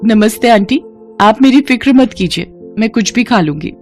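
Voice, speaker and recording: A woman's voice: a little high, expressive, normal speed, a little mellow, faint music behind, voice pushed up, close to an everyday voice. A woman voicing a humble, poor college girl in a cartoon story, polite, earnest and easily awed.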